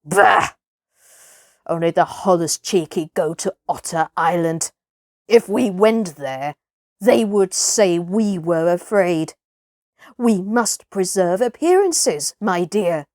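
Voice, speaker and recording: Woman playing a gruff, pompous old male seal. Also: fairly low pitch, expressive, slow pace, a little mellow, no room sound, studio quality. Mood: grumbling. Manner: pompous, snorting.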